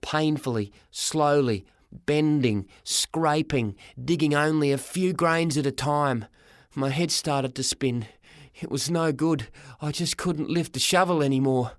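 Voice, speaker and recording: A man narrating a first-person story for an audiobook, dramatic and expressive, in short measured phrases. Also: a little high, no echo, studio quality.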